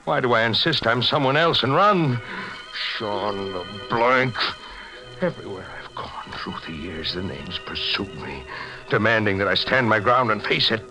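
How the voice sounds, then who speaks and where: A man's voice: fairly low pitch, expressive, a little slow, low-quality recording. An actor playing a haunted, desperate seaman who has lost his identity, in an anguished 1940s radio drama style. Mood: brooding, troubled. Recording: old radio recording with music.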